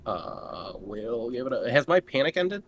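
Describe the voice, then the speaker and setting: A man's voice: medium pitch, very animated, a little slow. A man playing a tabletop roleplaying game, chatting casually and slangily as he describes his moves. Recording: music playing behind.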